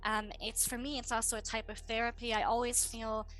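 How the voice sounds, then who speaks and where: A woman's voice: fairly high pitch, little pitch movement, a bit bright, poor audio. A German woman in her late twenties, chatting enthusiastically as a guest in a casual interview and laughing easily. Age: 20s. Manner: enthusiastic, bubbly, quick to laugh.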